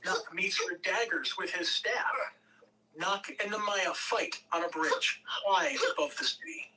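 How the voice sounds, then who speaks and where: Bright-voiced woman narrating a script. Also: on the low side, recorded from a distance, slight background noise, poor audio. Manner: measured, occasional stumbles over words. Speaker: narrator reading stage directions. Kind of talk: script narration at a table read.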